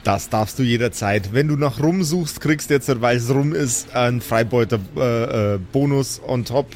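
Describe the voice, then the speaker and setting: A man's voice: medium pitch, normal speed, darkish tone. A man narrating and ruling a live improvised tabletop game as game master, in a vivid storytelling manner.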